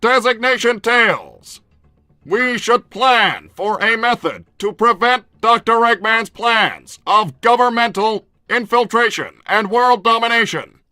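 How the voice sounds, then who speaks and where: A man's voice: extremely high pitch, lively intonation, slow, sharp tone, dry recording, exaggerated voice. A man voicing a cold, calculating, war-hungry cartoon robot with a stiff, formal, emotionless delivery. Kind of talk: improvised in-character conversation.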